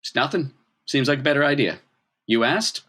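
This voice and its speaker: A man's voice: mid-range voice, lively intonation, moderate pace, pushed and bright, pitched above the performer's own voice. A man voicing an agreeable, easygoing fan offering a lift, in a breezy, obliging manner.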